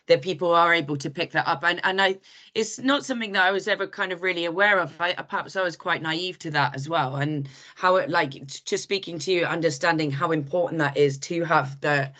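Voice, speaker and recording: A woman's voice: fairly low pitch, a little fast, a bit bright. A woman hosting a podcast interview, asking long, rambling questions full of ums and restarts. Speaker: podcast host.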